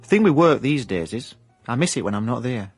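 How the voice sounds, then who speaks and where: An actor with a South Yorkshire accent playing a hapless ex-miner turned private investigator in a radio comedy-drama, deadpan and mock hard-boiled. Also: male voice, mid-range voice, fairly quick, slightly rough, no room sound, faint music behind. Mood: wry narration. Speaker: narrator and lead actor. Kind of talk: radio comedy-drama with first-person narration.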